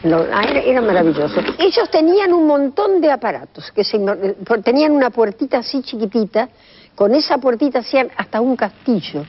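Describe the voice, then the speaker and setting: A woman's voice: fairly high pitch, very animated, fairly quick, slight background noise. An older woman with an Argentine accent reminiscing in an interview, enthusiastic and repeating phrases for emphasis. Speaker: radio veteran recalling her career. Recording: dull lo-fi sound.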